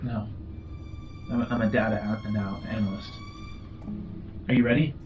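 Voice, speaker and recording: A man's voice: medium pitch, huge pitch swings, moderate pace, muffled recording. Man playing a hesitant, blunt science aide. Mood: flustered. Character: nervous, blunt doctor and data analyst aide. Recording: music under the voice.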